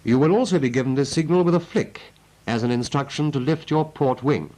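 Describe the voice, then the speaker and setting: A man's voice: mid-range voice, fairly quick, dark tone, poor audio. A British man narrating a 1940s military training film as an instructor, in a clipped, methodical and instructive manner.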